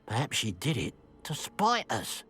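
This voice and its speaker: An actor playing a bitter, suspicious village shopkeeper in a video game, grumbling with dramatic sarcasm. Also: male voice, mid-range voice, huge pitch swings, unhurried, pushed and bright, heavily acted. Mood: indignant. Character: bitter, suspicious village shopkeeper man, resentful of his sister.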